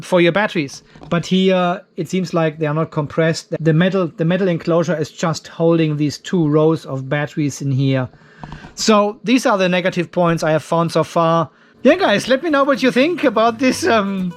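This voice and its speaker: A man with a high, light voice talks casually to camera while reviewing equipment. He thinks aloud, restarts sentences and corrects himself as he goes. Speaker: channel host reviewing a home battery. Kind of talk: casual unscripted vlog.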